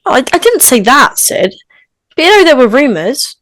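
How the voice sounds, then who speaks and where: A woman's voice: sits high, sing-song, normal speed, a bit bright, dry recording. A woman playing a blunt, chatty teenage best friend in a script table read. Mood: frank, gossipy.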